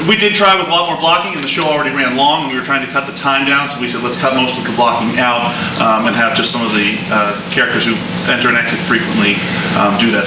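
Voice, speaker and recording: A man directing and moderating a discussion after a play reading, explaining in a stop-start, conciliatory way. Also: medium pitch. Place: echoing room, picked up from afar. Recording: echoey room, distant mic, noisy.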